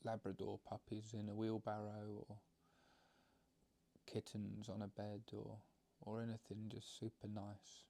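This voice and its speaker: A man leading a guided meditation, explaining it gently in halting, unscripted phrases. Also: on the low side, monotone, vocal fry. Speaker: meditation teacher.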